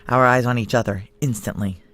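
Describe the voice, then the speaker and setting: A tough, wry female security chief, speaks in a low voice. She is dry, clipped and guarded, in the manner of noir audio drama. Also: steady tone, fairly quick, fairly bright tone. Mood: tense, dry. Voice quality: low-pitched.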